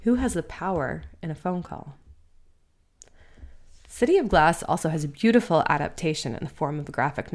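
A woman reading their own literary essay as scripted narration, in a measured, bookish way. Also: sits low, gravelly.

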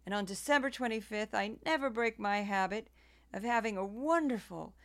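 A smug female politician, acted by a woman in a satirical radio play, with a clean, smooth voice. She is deluded and utterly self-assured, pressing every claim with insistent emphasis. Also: mid-range voice, normal speed, a bit bright, natural voice.

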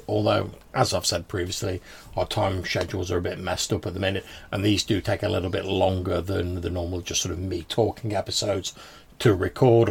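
Low-voiced gravelly man, casual podcast host. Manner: informal, chatty, often says "dude". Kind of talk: casual podcast talk responding to listener voicemails.

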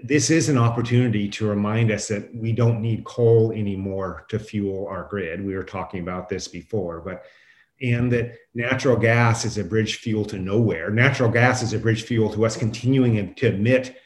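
A man's voice: fairly low pitch, moderate pace, darkish tone. A man speaking as an expert guest in an unscripted interview, explaining his points with emphasis. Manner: explanatory, emphatic, quotes imagined objectors. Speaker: guest professor and climate scientist. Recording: roomy, echoing sound.

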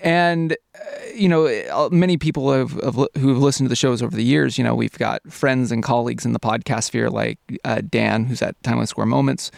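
Gravelly-voiced man hosting a podcast. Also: medium pitch, a little fast, no room sound, studio quality. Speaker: podcast host. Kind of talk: casual podcast chat.

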